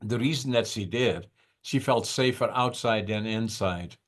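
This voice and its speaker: Unhurried man in his eighties, low voice. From Netherlands. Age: 80s. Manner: unhurried, reflective, with frequent "uh" pauses. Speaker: podcast guest. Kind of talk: conversational storytelling.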